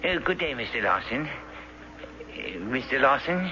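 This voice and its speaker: Courtly philosopher, muffled old radio. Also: male voice, mid-range voice, big pitch swings. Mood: gently persuasive. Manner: polite, halting, ornate phrasing. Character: courtly, philosophical man with a curious stone. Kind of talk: radio drama acting. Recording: muffled, hissy vintage radio drama recording.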